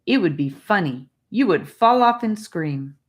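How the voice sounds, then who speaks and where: A woman voicing a boastful, teasing young boy in an audiobook, speaking in an animated way. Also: fairly low pitch, unhurried. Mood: teasing, eager. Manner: animated, bragging. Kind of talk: audiobook character voice.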